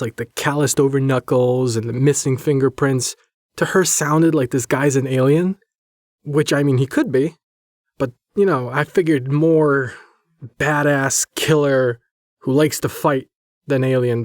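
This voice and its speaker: A man's voice: fairly high pitch, a little mellow, dry recording, studio quality. A man hosting his story channel, chatting casually and informally to his viewers. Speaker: host and storyteller of a horror channel.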